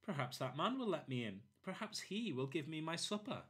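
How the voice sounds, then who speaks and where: A man's voice: a little high, very animated, normal speed, pitched above the performer's own voice. A man voicing the thoughts of a lonely, self-pitying female cat in a storybook, plaintive and musing.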